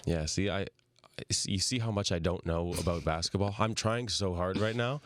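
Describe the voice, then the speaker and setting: A man's voice: low-pitched, moderate pace, no echo, clean studio sound. A man with a Montreal English accent co-hosting a podcast, asking questions in a casual, joking, self-deprecating way. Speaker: podcast co-host. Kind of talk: casual interview chat.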